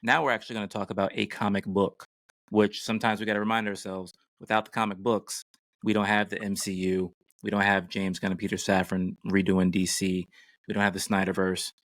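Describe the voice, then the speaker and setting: A man's voice: on the low side, level tone, fairly quick, fairly bright tone, dry recording, studio quality. A man hosting a podcast, chatting casually and introducing topics. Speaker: podcast host.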